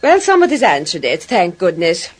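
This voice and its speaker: Well-to-do radio soap mother: genteel, swooping high voice, American accent, noisy old recording. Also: wildly swooping pitch, a little fast. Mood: attentive. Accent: put-on American. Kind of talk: 1940s radio soap acting.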